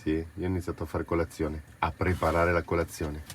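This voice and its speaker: A man's voice: sits low, little pitch movement, brisk. A man chats informally and playfully as he hosts a casual travel vlog. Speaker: vlog host. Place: outdoors in a mountain valley. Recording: clear outdoor ambient noise.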